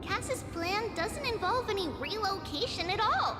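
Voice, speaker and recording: A woman's voice: very high-pitched, expressive, a little slow, reverberant, long reverb tail, over music. A woman playing a small, determined girl in a video game, earnest and firm as she explains. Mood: earnest, reassuring. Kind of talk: scripted video game acting.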